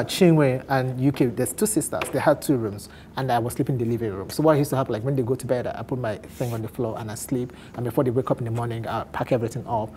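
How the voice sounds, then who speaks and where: A man in his thirties from Nigeria with an Igbo accent, telling his life story candidly on a talk show, animated and often laughing. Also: medium pitch, slight background noise. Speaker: guest on a men's talk show.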